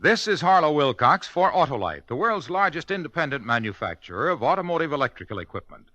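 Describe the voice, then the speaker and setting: High, lively male 1950s radio announcer. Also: quick pace, dry recording. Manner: polished, emphatic salesmanship. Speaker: commercial announcer. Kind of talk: 1950s radio advertising read. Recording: dull vintage 1950s radio recording.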